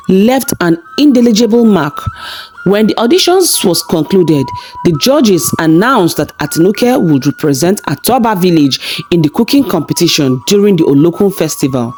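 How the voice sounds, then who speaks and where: A woman's voice: fairly low pitch, very animated, moderate pace, darkish tone, faint music behind. A woman narrating a scripted folktale as a storyteller.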